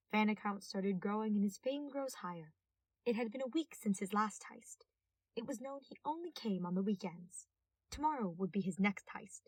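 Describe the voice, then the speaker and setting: A woman's voice: medium pitch, husky, dry recording, recorded from a distance, low-quality recording. A woman reading scripted fiction aloud as a narrator. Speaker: podfic narrator.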